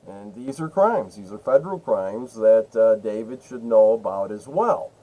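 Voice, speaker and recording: A man's voice: on the low side, expressive, moderate pace, warm tone, muffled recording. A man making an insistent phone complaint, laying out accusations in an emphatic, repetitive way and cutting in. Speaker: caller to a state senator's office.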